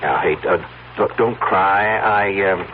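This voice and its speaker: Tinny old radio drama: laconic investigator, slow, hesitant, clumsily soothing. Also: male voice, sits low, slight background noise. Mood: awkward soothing. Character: awkward, doubtful male investigator, clumsily soothing. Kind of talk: 1970s radio drama acting.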